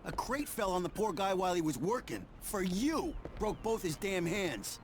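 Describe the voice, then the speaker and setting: An actor playing a defiant young American dockworker in a crime game, hot-headed, coarse and combative. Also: male voice, high voice, big pitch swings, normal speed, slight background noise. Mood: furious. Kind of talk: game drama acting.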